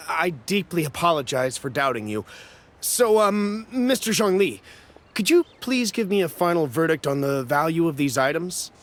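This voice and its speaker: An actor playing a wary, fawning merchant buyer who speaks hesitantly and nervously, in a video game. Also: male voice, a little high, animated, unhurried, slight background noise. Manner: hesitant, obsequious and a bit nervous.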